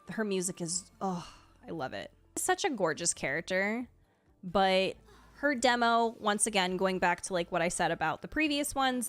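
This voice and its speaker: A woman giving casual, chatty reaction commentary as a video creator, rambling along with gushing asides. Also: mid-range voice. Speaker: video creator and game streamer. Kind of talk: casual reaction commentary, ranking game trailers. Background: faint music.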